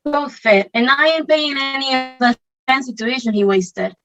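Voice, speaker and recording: A woman's voice: high voice, moderate pace, highs cut off. A woman playing a selfish, bullying stepfather in a theatre table read, grumbling and demanding with open contempt. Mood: contemptuous. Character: selfish, boorish, bullying stepfather, grown man.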